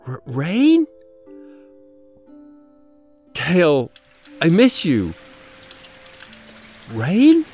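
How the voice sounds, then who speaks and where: Guy acting as a weary old man grieving by the sea, theatrical and halting, voice kinda high, loud music behind. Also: exaggerated voice. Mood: despairing, halting, then bitter, pleading. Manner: halting, anguished, theatrical delivery. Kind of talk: audio drama monologue. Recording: music and water sounds behind voice.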